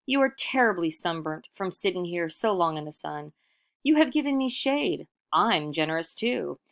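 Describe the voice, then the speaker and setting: A man's voice: high-pitched, lively intonation, normal speed, creaky, fairly bright tone, full character voice. A woman voicing a vain, boastful young trickster man from a folk tale, in a self-important, theatrical way. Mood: pompous.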